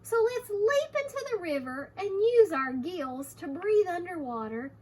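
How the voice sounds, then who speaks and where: Woman putting on an eager, high-voiced male chicken. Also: very animated, unhurried, a little mellow, full character voice. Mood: eager, excited. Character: silly, enthusiastic male chicken with big plans.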